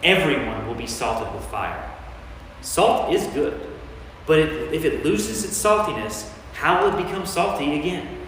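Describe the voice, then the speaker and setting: Stern commanding teacher acted by a man, forceful, slightly high voice, declamatory Bible drama, very echoey hall. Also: animated, a little slow. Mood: firm, warning. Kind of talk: amateur staged Bible reading. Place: large church interior. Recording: echoey church hall from far off, faint noise.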